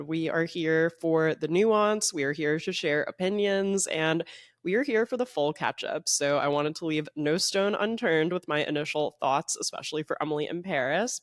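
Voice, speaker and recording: A young man hosts his own podcast in a loose, meandering chat full of "like". His voice sits a touch high and sounds slightly congested. The sound is dry and close, with no room echo. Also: fairly bright tone. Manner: chatty, rambling, says "like" often. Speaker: podcast host. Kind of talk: casual solo podcast chat.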